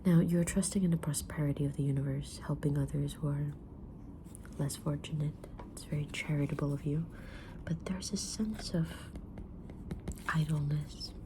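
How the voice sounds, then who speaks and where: A woman playing a kind, mysterious tarot-reading witch in a gentle, breathy whisper for an ASMR roleplay. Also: low voice, fairly even intonation, slight background noise, natural voice. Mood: gentle, counselling. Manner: gentle, deliberate, soft-spoken, drawn-out pauses.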